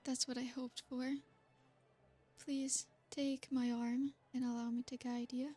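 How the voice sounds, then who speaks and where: Female performer as an ancient noble vampire, seductive and possessive. High, even delivery, slow and formal. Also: soft background music. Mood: coaxing. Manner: soft, formal and flattering. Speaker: ASMR roleplay performer. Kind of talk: ASMR roleplay.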